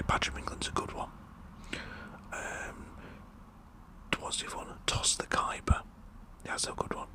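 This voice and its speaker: A British man whispering a rambling, jokey, blokey ASMR roleplay monologue. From United Kingdom. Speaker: ASMR performer.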